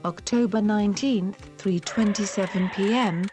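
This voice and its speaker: A woman's voice: medium pitch, a little slow, over loud music, lightly acted. A woman playing a formal, neutral announcer reading scene captions in a fan-dubbed video game. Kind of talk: scripted narration for game fan dub.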